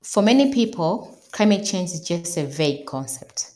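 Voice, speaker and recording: A woman's voice: fairly low pitch, expressive, moderate pace, a little mellow. A woman moderating a panel, asking formal and polite questions. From Tanzania.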